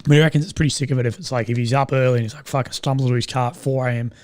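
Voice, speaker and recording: A man's voice: mid-range voice, fairly even intonation, racing, darkish tone, slight background noise. Strained-voiced young man. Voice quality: strained, slightly croaky voice. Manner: rambling, swears often, laughs at own jokes. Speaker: live stream host. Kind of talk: casual live stream chat.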